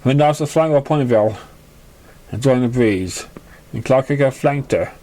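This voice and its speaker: A man's voice: mid-range voice, normal speed, mellow, slight background noise. A man narrating a scripted story, sometimes stumbling over the words as he reads. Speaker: fan fiction reader.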